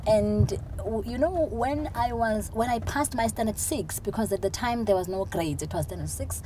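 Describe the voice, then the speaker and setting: Middle-aged woman, South African accent, earnest, repeats her words, lapel mic, steady road noise in a moving car. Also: on the high side, normal speed, poor audio. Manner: earnest, repeats words when starting sentences. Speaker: interview guest, city council official. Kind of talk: conversational interview. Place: back seat of a moving car.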